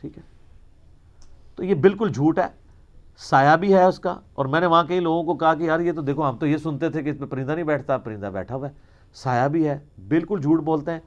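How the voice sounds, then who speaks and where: Man, high voice, Pakistani Punjabi accent, religious Q&A lecturer, emphatic, argumentative, rhetorical, informal. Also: brisk, lo-fi sound. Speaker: religious scholar answering questions.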